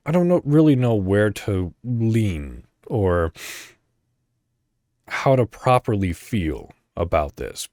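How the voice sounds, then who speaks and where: A man's voice: lowish voice, dry recording, clean studio sound. A man hosting a casual solo podcast, rambling as he thinks aloud and swearing offhandedly. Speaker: podcast host.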